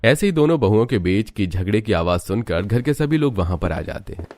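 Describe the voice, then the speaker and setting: A man narrating a scripted animated family story. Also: fairly low pitch, brisk.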